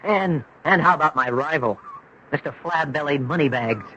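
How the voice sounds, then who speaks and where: An American actor playing an earnest, proud young surfer dropout in a 1970s radio drama, defensive and plucky. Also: male voice, on the high side, moderate pace, background noise, close to an everyday voice. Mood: defensive, wry. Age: young adult. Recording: dull, muffled vintage radio recording.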